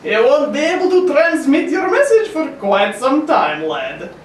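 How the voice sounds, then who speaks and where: Woman reading a brisk, commanding male scientist. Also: high voice, sing-song, a little hiss or hum. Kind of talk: hammy table read of an old radio serial. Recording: roomy, distant mic.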